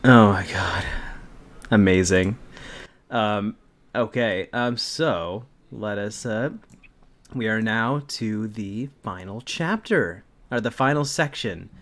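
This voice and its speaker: A man's voice: fairly low pitch, takes it slowly, a bit bright. A man reading fan fiction aloud in a mock dramatic style, with casual, sarcastic asides and a readiness to break into giggles.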